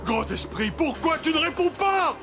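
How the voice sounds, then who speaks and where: A man's voice: extremely high pitch, expressive, unhurried, full character voice. A man voicing a proud, scornful, hot-headed young hunter in a children's cartoon, dramatic and boastful. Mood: pleading. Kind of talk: animated children's series acting. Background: loud music.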